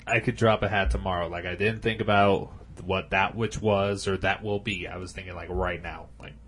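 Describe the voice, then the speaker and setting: A man co-hosting a casual podcast chat, relaxed and often trailing off mid-thought. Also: low voice, normal speed, a little hiss or hum. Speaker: podcast co-host.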